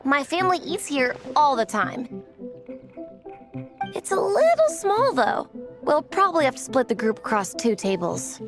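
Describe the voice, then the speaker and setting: A modest young local girl from a video game, helpful and slightly tentative. Her voice is fairly high with animated intonation, over clearly audible music. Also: a little slow, heavily acted.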